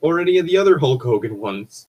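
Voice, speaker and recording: Exasperated man with a husky voice. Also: mid-range voice, lively intonation, fast talker, a little mellow, lo-fi sound. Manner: exasperated, profane. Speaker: co-host reading fan fiction aloud. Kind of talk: casual comedic banter and commentary.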